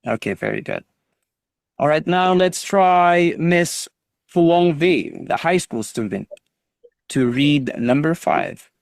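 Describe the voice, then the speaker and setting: A man's voice: fairly high pitch, very animated, slow pace, gravelly, dry recording, studio quality. A man teaching an online English class over a video call, patiently drilling students on pronunciation and encouraging them.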